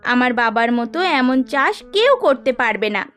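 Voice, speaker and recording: A woman playing a sweet, devoted young farmer's daughter in an animated fairy tale. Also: on the high side, normal speed, clear, resonant tone, faint music behind. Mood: cheerful, sweet.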